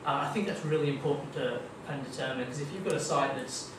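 A man's voice: medium pitch, fast. Australian man presenting in an echoey room. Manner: chatty, many ums and kind-ofs. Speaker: guest speaker at a marketing meetup. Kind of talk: casual tech presentation. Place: dim meeting room with projector screen. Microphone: far room camera. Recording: echoey room, distant camera, noisy.

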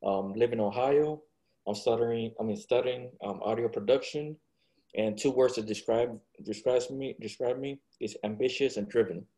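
Male student from Puerto Rico, polite, mellow voice, stumbles. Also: medium pitch, moderate pace. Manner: polite, stumbling over words.

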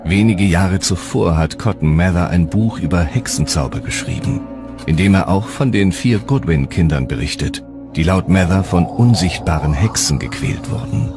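A man narrating a historical documentary from a script in a measured, serious way. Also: low-pitched, lively intonation. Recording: music underneath.

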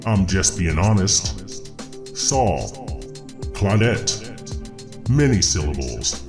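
Deep, slow man reading names over music. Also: very animated. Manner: slow, deliberate name reading. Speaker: channel host reading supporter names. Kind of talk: list of thanks. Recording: echoey, with music behind.

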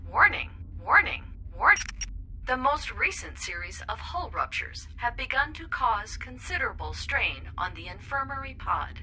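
A woman's voice: a little high, very animated, normal speed, pushed and bright, slight background noise, heavily acted. A woman playing a malfunctioning female spaceship computer that makes formal, repetitive announcements in an audio drama. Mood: alerting. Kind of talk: scripted audio drama acting.

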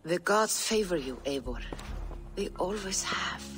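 A solemn Norse seeress in a fantasy game. She talks very slowly, with oracular weight and a portentous manner, while faint music plays behind her. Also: on the low side, animated. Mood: solemn, foreboding. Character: solemn, mystical female Norse seer. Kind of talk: dramatic video game cutscene acting.

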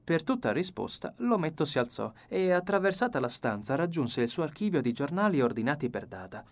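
Man narrating an audiobook, measured and expressive. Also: fairly high pitch. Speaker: audiobook reader.

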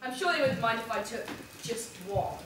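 A woman's voice: a little high, expressive, normal speed, close to an everyday voice. A woman playing a naive, plucky girl in an amateur stage pantomime, with projected, declaimed delivery. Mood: naive, earnest. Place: theatre stage, far camera. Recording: echoey theatre from far off, noisy.

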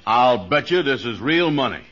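An actor playing an easygoing, slow-witted man with a folksy, ungrammatical drawl in a 1940s radio comedy. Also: medium pitch, very animated, close to an everyday voice. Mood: amazed. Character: Andy, easygoing, lazy, slow-witted man with big notions. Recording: dull vintage 1940s radio broadcast.

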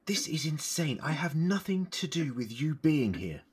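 An actor playing a wry, defiant captive adventurer pleading in an audio drama, dramatic and expressive. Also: male voice, a little high, normal speed, slightly rough. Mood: indignant. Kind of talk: fan audio drama acting.

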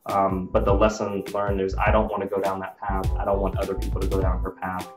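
An American man with a fairly low voice shares personal stories in a casual, explanatory way. The room has noticeable echo, and music plays behind him. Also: monotone, fairly quick. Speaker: video host and health business owner.

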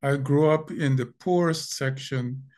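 An older man with a Guyanese accent talks slowly over a home video call. He pauses often and repeats words while he thinks. His pitch sits in an ordinary middle range. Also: a little mellow. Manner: slow, thoughtful, with frequent pauses and repeated words. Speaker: linguist guest. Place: home room indoors. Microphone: video call webcam.

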